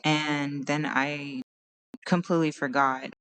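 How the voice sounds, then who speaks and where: Tired hoarse woman with a low voice. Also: fairly even intonation, slow, fairly bright tone. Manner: meandering, searching for words, tired. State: hoarse from crying. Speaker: podcast host. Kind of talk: casual solo podcast talk. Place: at home.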